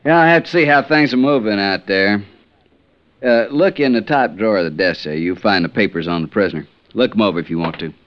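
A dull vintage radio recording carries a man acting as a middle-aged Texas Ranger captain. His voice sits low and his talk is folksy and plain. He sounds like a harried frontier officer in an old western serial. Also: a little hiss or hum, lightly acted. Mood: regretful. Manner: folksy, drawn-out, plain-spoken.

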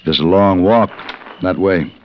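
An actor playing a stoic, duty-bound western gunfighter in a 1950s radio drama, speaking in a grave, measured way. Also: male voice, sits low. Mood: firm. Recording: muffled vintage radio recording.

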